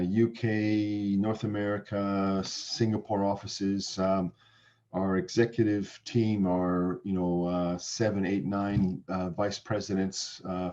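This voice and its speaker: A very low-pitched middle-aged man with a Canadian accent, answering questions on a video call. He talks haltingly, with many fillers and false starts. The audio is dull and lo-fi, like a compressed online call. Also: dark tone. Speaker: company founder presenting. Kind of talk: seminar Q&A answers.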